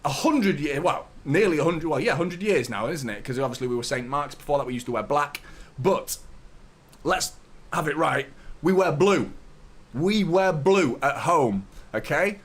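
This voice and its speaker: A man in his thirties with a slightly hoarse voice, hosting a live football fan stream, chatting to viewers and ranting with strong opinions. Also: on the high side, animated, moderate pace. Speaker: host of a football fan watchalong. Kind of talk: live stream chat and commentary.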